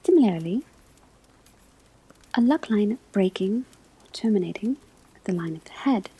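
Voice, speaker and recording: A woman playing a calm, scholarly professor at a witch school, whispering a lecture in a measured, deliberate ASMR roleplay. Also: medium pitch, heavily acted, poor audio. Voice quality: breathy, soft whisper. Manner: measured, deliberate phrasing with frequent pauses. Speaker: ASMR performer playing a teacher.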